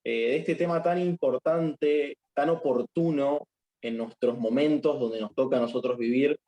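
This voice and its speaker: A man's voice: medium pitch, a little slow, muffled recording. A young Argentine man, a chaplain, introducing himself modestly over a video call before his talk, with frequent fillers. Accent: Argentine Spanish. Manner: warm, modest, with many fillers. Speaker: chaplain and speaker.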